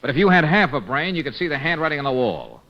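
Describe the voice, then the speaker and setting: An actor playing a hard-boiled private detective in an old-time radio drama, sardonic and probing. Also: male voice, on the high side, big pitch swings, fast talker. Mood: pressing. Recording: dull lo-fi old radio recording.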